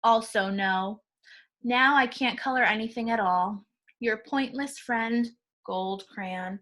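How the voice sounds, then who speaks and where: An indignant crayon from a children's book, read by a woman, tells off its owner. The voice is high and bright, the delivery sarcastic and scolding. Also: slow pace.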